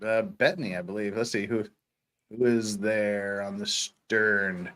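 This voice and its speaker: A man's voice: fairly low pitch, steady tone, measured pace, a bit bright. A man hosting a casual comic commentary show, joking and sardonic as he chats. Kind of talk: casual chat and dramatic reading of trial transcripts.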